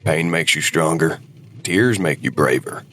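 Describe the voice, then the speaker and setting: A man's voice: a bass voice, a little slow, a bit bright, heavily acted. A man playing a weary older husband and war veteran, regretful but devoted, in an audio drama. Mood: wistful, regretful.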